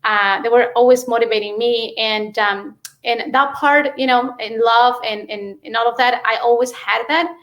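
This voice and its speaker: Female interview guest, Mexican accent, native Spanish speaker, higher-pitched voice, halting and conversational personal storytelling. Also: steady tone, fairly bright tone. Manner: halting, peppered with "you know".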